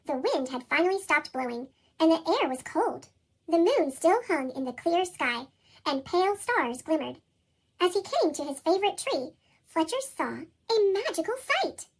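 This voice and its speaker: A woman reading a children's storybook with expressive delivery, her voice shifted up by a chipmunk effect. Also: normal speed. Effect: pitched-up chipmunk voice effect. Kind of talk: scripted read-aloud storybook narration.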